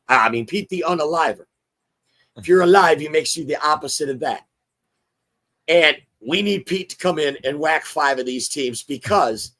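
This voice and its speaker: Hoarse, chatty man hosting a sports show. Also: fairly high pitch. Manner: chatty, excitable, restarts often. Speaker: show host. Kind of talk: casual sports talk show.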